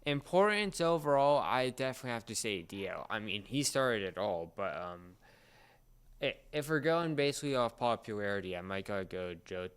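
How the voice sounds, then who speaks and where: A man co-hosting a casual talk, explaining things in a halting way with repeated and restarted phrases. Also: on the low side, animated, a bit bright, clear, resonant tone. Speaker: podcast co-host explaining a series.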